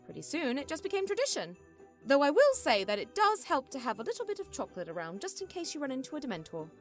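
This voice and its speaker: Woman acting bossy young witch in a radio drama, high lively voice, explains everything, music behind. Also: fast. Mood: explanatory. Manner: explanatory, emphatic. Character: brisk, bossy young witch who hunts dementors.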